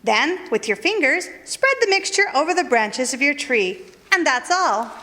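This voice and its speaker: A woman's voice: high-pitched, very animated, normal speed, sharp tone. A woman reading a scripted old-time radio commercial as its spokeswoman. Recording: echoey hall, long reverb.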